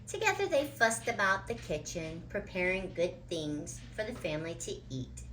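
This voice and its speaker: A woman reading a children's picture book aloud with deliberate, dramatic phrasing. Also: lowish voice, sing-song, fairly bright tone, slight background noise, rough recording. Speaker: children's storybook reader.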